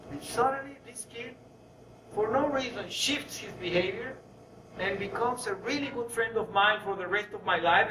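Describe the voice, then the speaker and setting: A man from Venezuela giving a spiritual talk to an audience, telling personal stories in an animated way and acting out bits of dialogue. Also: sits high. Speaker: guest lecturer, spiritual speaker. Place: room with an audience. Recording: roomy, distant mic, faint noise.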